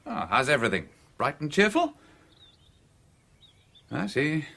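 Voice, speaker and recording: A man's voice: fairly high pitch, wildly swooping pitch, a little slow, gravelly, pushed and bright, full character voice. A man playing a breezy, flippant visitor in a radio play, wry, jaunty and self-assured. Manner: jaunty, sardonic.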